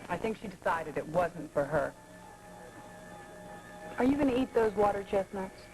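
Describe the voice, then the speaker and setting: A woman's voice: mid-range voice, expressive, normal speed, music in the background, close to an everyday voice, low-quality recording. An actress playing a poised, flirtatious professional woman in a 1980s daytime soap, talking in a teasing, self-assured way. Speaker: soap opera character.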